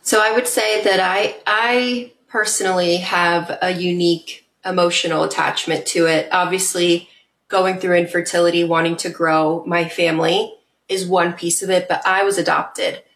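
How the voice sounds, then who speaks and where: A woman guest on a podcast speaks earnestly and reflectively, with occasional fillers. Her voice sits a little low, and the room around her sounds echoey. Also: fairly even intonation, normal speed, a bit bright. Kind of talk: casual interview chat.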